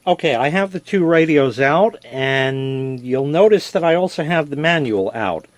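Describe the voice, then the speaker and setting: A man's voice: on the high side, lively intonation, a little slow, no room sound. Man in a dry-sounding product demo. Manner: conversational, plain-spoken, explanatory. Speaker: product reviewer, presenter. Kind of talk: casual product demonstration, explaining step by step.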